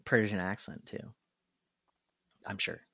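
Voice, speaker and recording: A man's voice: fairly low pitch, takes it slowly, creaky, sharp tone, husky, no echo. A man co-hosting a podcast, telling a story casually and chuckling as he goes, into a close microphone. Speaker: podcast co-host.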